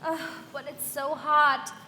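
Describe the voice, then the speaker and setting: An actress playing a pampered, restless young society wife, breezy and theatrical in a live radio play. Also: female voice, sits high, level tone, slow, pushed and bright, exaggerated voice. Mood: restless, petulant. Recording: reverberant hall, light background noise.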